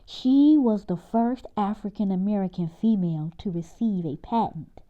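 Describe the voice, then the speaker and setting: A woman's voice: medium pitch, lively intonation, moderate pace, narrow, tinny audio, lightly acted. A woman reading the part of a patient, knowledgeable father who explains things to his children in a storybook. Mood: patient, explaining.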